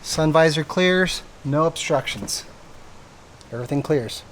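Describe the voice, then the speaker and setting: High-voiced man giving a how-to. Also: unhurried, loud music behind the voice, poor audio. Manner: methodical, explanatory. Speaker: product maker presenting installation tutorial. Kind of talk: step-by-step hands-on how-to demonstration.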